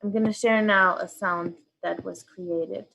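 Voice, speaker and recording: A woman's voice: mid-range voice, fairly even intonation, unhurried, vocal fry, a bit bright, rough recording. A woman hosting a workshop, presenting informally in a hesitant way as she searches for words. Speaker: workshop host and artist.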